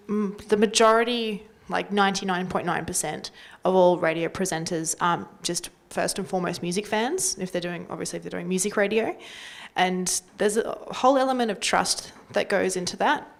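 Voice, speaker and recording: A woman's voice: mid-range voice, gravelly. An Australian woman talking casually and informally as a panelist, with loose conversational phrasing. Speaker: community radio panelist.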